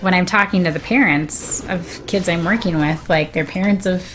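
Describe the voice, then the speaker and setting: A woman's voice: fairly low pitch, normal speed, a bit bright, slight background noise, rough recording. A middle-aged woman chatting casually as a guest on a live game stream. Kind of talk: casual chat.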